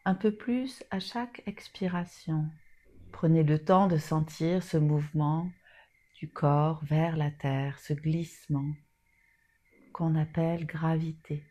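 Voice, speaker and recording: A woman leading a guided yoga nidra meditation in a soothing, soft manner with long pauses. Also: sits low, steady tone.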